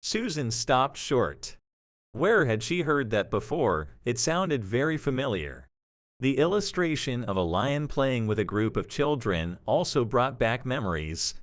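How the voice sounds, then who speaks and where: A man narrates a scripted audio drama story. His voice sits at a medium pitch and moves with lively, wide intonation, recorded close and dry. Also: a little slow, no room sound.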